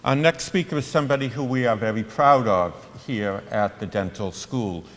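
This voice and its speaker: A man's voice: lowish voice, moderate pace. Man introducing a speaker in a hall. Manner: formal, proud introducer. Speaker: master of ceremonies. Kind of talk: formal introduction. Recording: reverberant hall with audience.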